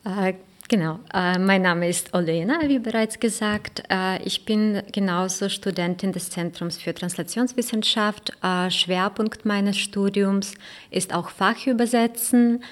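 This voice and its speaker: A woman with a Ukrainian accent co-hosting a podcast, speaking in a measured way with filler sounds. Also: medium pitch. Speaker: podcast co-host, translation student. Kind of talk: semi-scripted podcast talk.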